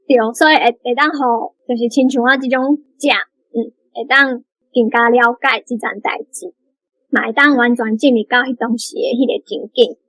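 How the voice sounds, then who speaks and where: A woman with a Taiwanese accent giving prepared, measured commentary on a book in an explanatory way. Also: fairly high pitch, fairly even intonation, fairly bright tone, dry recording, rough recording. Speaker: book club member, guest reader.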